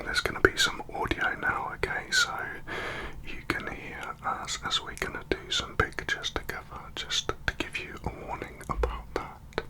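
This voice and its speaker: Male ASMR tour guide, breathy whisper throughout, gentle and explanatory. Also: extremely high pitch.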